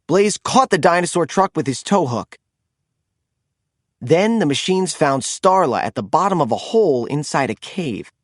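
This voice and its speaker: Animated male children's storyteller. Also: a little high, moderate pace, no echo, clean studio sound. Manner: expressive, animated delivery for children. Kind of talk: scripted read-aloud storytelling.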